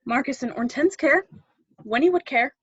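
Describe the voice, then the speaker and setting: An earnest female drama teacher played by a woman in a readthrough, pushing and encouraging with a wistful streak. Her voice sits fairly high. The recording is close and dry but slightly dull. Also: lively intonation, moderate pace, no echo. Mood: insistent, supportive. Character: earnest, pushy female drama teacher with faded acting dreams. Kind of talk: play readthrough, dramatic dialogue.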